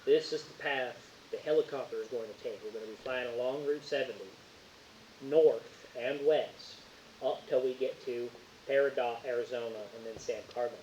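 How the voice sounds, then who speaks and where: A man's voice: mid-range voice, moderate pace, a little mellow, lightly acted. A man voicing a stern, territorial lawman with an exaggerated Southern drawl and a folksy, bossy delivery in a tabletop game. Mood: businesslike. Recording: dull roomy audio with faint hiss.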